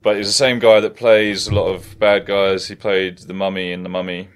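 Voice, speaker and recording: A man's voice: deep voice, little pitch movement, normal speed, clean tone. A man, hosting a casual chat in a wood-panelled study and swearing freely as he rambles through stories. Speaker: podcast host.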